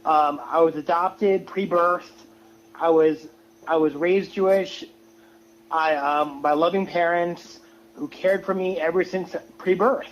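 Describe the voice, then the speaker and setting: High-pitched man ranting haltingly, muffled audio. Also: fairly even intonation, slight background noise. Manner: halting, repeats words and phrases. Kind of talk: opinionated casual rant.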